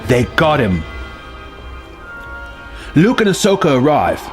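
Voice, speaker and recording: A man's voice: a little high, huge pitch swings, slow pace. Man narrating dramatically over music. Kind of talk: dramatic narration of a film story treatment. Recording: music bed under the voice.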